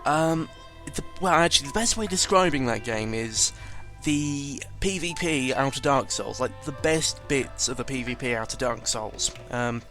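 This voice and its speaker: A man's voice: medium pitch, normal speed, hardly any pauses, a bit bright, soft background music. A man giving casual, rambling game commentary, informal and sweary, often correcting himself as he goes. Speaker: gaming video commentator.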